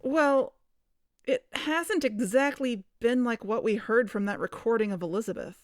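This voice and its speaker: A woman's voice: on the high side, unhurried, no echo, clean studio sound, close to an everyday voice. An actress playing a skeptical, anxious amateur investigator in a naturalistic fiction podcast, candid and conversational in her doubts.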